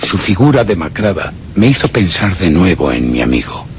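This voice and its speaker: An actor playing a tormented, guilt-ridden gentleman narrating his descent into madness in a horror radio drama, with a brooding literary delivery and a Castilian accent. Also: low-pitched, big pitch swings, normal speed, a little hiss or hum. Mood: haunted, brooding. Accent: Castilian Spanish. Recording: music bed behind.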